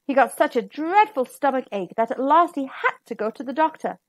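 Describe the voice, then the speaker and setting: A woman narrating a children's story as an expressive, dramatic read-aloud. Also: sits high, a little fast, no room sound. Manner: dramatic, emphatic. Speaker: storybook reader.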